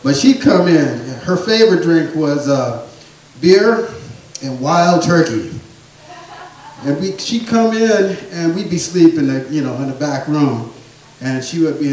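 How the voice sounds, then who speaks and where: Soft-voiced storyteller in a very echoey room. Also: male voice, fairly high pitch, distant mic. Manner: rambling, soft-voiced family anecdotes with restarts. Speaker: stand-up comedian. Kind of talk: storytelling stand-up comedy. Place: small theatre or bar stage.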